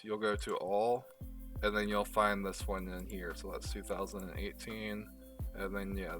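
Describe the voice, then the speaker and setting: A man narrating a step-by-step software tutorial in a casual, informal way, talking through each step as he does it. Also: on the low side, distant mic. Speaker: tutorial host. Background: background music.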